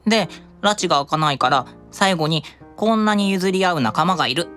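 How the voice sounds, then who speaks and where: Stubborn, earnest angel boy, voiced by a woman, fairly low for that, very animated, theatrical childlike delivery, anime radio drama. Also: normal speed, faint music behind. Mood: stubborn, pleading. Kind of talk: live anime-style audio drama acting.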